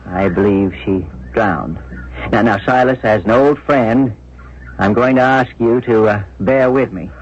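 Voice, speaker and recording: An actor playing a grave, considerate police inspector in a 1970s radio drama, speaking in a measured, deliberate, official way. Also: male voice, on the low side, slight background noise, lightly acted. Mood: grave, gentle. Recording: muffled vintage radio broadcast.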